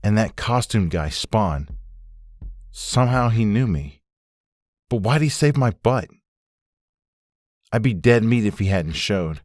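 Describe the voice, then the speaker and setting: Low-voiced wary man acted, musing. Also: expressive, slow pace, pauses often, darkish tone, no echo, clean studio sound. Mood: puzzled, pondering. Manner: conversational, musing. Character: puzzled, wary husband mulling over a conspiracy. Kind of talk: comic book dub acting.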